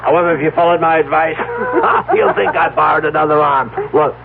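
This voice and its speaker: An actor playing a devoted, stubborn farmer husband in an old radio drama, with an emphatic, theatrical delivery. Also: male voice, fairly high pitch, huge pitch swings, moderate pace, creaky, full character voice. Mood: elated, defiant. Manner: theatrical, emphatic, stammering when unsettled. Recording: tinny, hissy old radio recording with hum.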